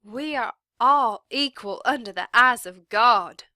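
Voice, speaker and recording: A woman doing a frantic, high-voiced young schoolteacher. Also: lively intonation, drawn-out words, bright, forceful tone, no echo, studio quality, full character voice. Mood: defiant. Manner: high, urgent pleading.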